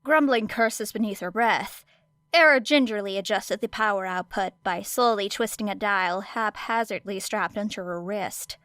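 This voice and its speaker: A woman's voice: medium pitch, moderate pace, a bit bright, dry recording. Woman narrating fiction, close dry sound. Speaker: audiobook narrator.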